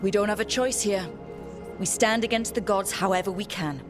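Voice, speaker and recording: An actress playing a resolute young leader in a video game, firm, earnest and direct. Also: female voice, medium pitch, steady tone, moderate pace, music in the background, lightly acted. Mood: determined. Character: resolute young heroine, steady team leader.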